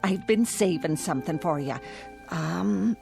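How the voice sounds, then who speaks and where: A woman playing a kindly, helpful landlady, gentle and encouraging, in a radio drama. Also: lowish voice, a little slow, vocal fry, faint music behind.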